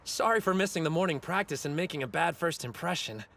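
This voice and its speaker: A man's voice: fairly high pitch, animated, fast, creaky, full character voice. A man voicing a short, stubborn teenage sumo wrestler in an English anime dub, earnest and blunt. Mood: calm. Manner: earnest, blunt, stern about respect. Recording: dub with loud music score.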